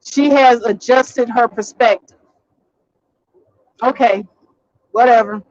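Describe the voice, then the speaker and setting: A woman hosting a casual live stream from her car, talking bluntly and swearing freely, getting a little hoarse. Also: on the high side, steady tone, slow, a little mellow, no echo, muffled recording. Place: in a vehicle, driving.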